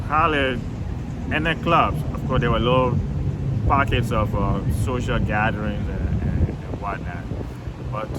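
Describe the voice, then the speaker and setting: An older man from Liberia with a Liberian accent, a retired DJ telling his life story in a relaxed interview, often chuckling and restarting phrases. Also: medium pitch, heavy background noise.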